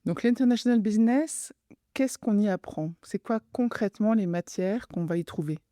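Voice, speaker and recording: A woman host asks a guest direct, plainly worded questions. Her voice is warm and mellow at a middle pitch, and the recording is dry and close. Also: normal speed, no echo. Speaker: interviewer. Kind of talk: interview questions.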